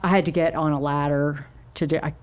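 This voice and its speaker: Earnest middle-aged woman guest, lowish voice. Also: level tone, a little fast. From United States. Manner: earnest, warm storyteller, easily moved. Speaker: guest artist. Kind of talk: casual interview chat. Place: podcast studio with wooden bar shelves. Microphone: boom mic and headphones.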